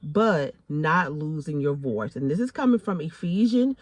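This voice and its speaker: A woman's voice: low-pitched, expressive, unhurried, no echo. A woman in her forties with a Southern American accent, giving a faith talk to camera in an emphatic, preacherly way, repeating phrases for stress and calling listeners honey. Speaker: faith and lifestyle vlogger.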